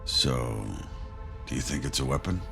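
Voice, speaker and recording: A man playing an earnest army-pilot commander of a space crew in a video game, speaking deliberately and with authority. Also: rumbling low, expressive, gravelly, music in the background, full character voice. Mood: quiet, candid. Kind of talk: cinematic video game acting.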